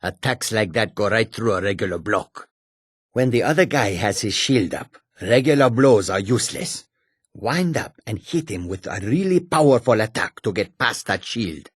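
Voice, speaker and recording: An actor playing a shrewd, proud cat-folk warrior and trader in a fantasy video game, with a husky, rasping, theatrical delivery. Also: male voice, fairly low pitch, animated, moderate pace, no echo, studio quality. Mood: instructive, firm.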